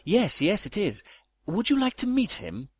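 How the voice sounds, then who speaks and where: A man's voice: high voice, wildly swooping pitch, a little slow, a bit bright, slightly rough, heavily acted. A man playing a pompous, sentimental British statesman in a comedic audio drama, speaking formally and effusively in a British accent. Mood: earnest, confiding. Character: pompous, sentimental British male statesman, childlike teddy lover.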